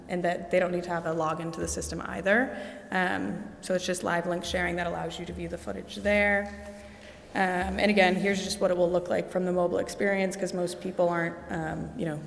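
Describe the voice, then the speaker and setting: A woman's voice: on the low side, gravelly. A woman presenting a security system to a city council, explaining as she goes with many "um" fillers. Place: council chamber. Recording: echoey chamber with long reverb.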